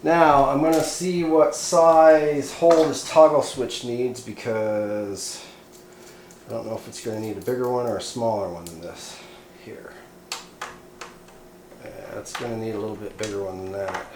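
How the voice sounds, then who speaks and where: A man's voice: medium pitch, steady tone, unhurried. A man narrating a hands-on DIY job casually, thinking aloud in a folksy, informal way. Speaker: DIY electronics video host. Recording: roomy echo, clear background noise, clicks.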